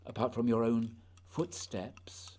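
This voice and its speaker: An actor playing an earnest, haunted polar explorer in a radio play, speaking lyrically and deliberately in a husky voice. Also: male voice, medium pitch, fairly even intonation, dark tone. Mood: rapt, lyrical. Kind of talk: poetic radio drama acting.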